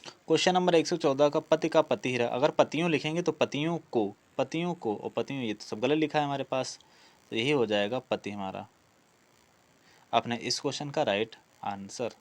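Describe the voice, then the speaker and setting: A male teacher with a medium-pitched voice leads an exam-prep lesson. He reads each question aloud, then explains the answer in an instructive way. He often repeats key words as he goes. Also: moderate pace. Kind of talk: online lesson, working through quiz questions.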